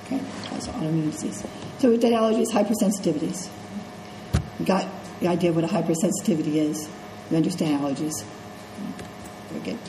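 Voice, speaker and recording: A woman's voice: fairly low pitch, fast talker, background noise. Congested woman lecturing in an echoey classroom. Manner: conversational, checks in with "okay?" and "right?". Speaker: nursing instructor. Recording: echoey classroom with faint ambience.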